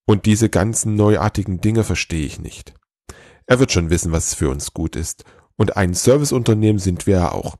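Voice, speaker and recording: Storybook old Santa, male performer: weary, kindly, ponderous, low pitch, close dry sound. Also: lively intonation, normal speed, darkish tone, no room sound.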